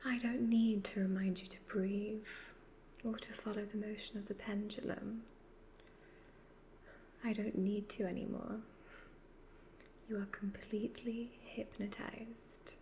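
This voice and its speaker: Woman doing an evil seductive witch for asmr, half whispering, creaky n really slow, gloating. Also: mid-range voice, level tone, dark tone, close to an everyday voice. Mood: sinister, hypnotic. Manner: slow, deliberate, hypnotic, condescending. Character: evil ageless witch, youthful-looking, gloating, seductive, predatory. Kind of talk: soft-spoken ASMR roleplay. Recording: faint background noise.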